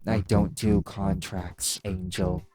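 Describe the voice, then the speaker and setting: A man's voice: deep voice, little pitch movement, slow pace, mellow, no echo. A man playing a brash, menacing demon biker who taunts, threatens and swears in an audio roleplay. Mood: gruff, wary. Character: brash, menacing male demon biker, sarcastic and foul-mouthed.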